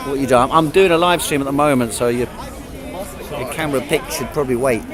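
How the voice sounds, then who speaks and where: A man with a mid-range voice hosts a livestream and chats with passersby in a brisk, friendly way. He nods along with quick little acknowledgements. He is outdoors on a phone, with a loud crowd around him. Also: medium pitch. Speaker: livestream host. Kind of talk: casual street interviewing and livestream chat. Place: busy outdoor public park.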